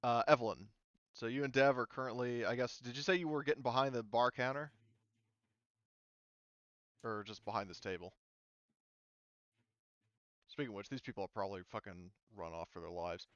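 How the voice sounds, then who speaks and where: A man runs a tabletop game as referee in an informal, conversational way. His voice is middle-pitched and smooth, captured dry over a slightly dull voice-chat connection. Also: moderate pace, long pauses, a bit bright, no room sound. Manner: informal, swears casually. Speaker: game master. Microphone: voice call over the internet.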